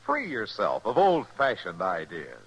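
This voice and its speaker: A man's voice: lowish voice, huge pitch swings, unhurried, poor audio. A man with an American accent announcing dramatically in the style of 1950s radio. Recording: vintage radio recording with loud music. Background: loud music.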